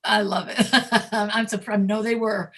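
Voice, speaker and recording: A woman's voice: medium pitch, steady tone, measured pace, a bit bright. A woman hosting an enthusiastic, conversational interview in an effusive, complimentary way. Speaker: host, talent manager.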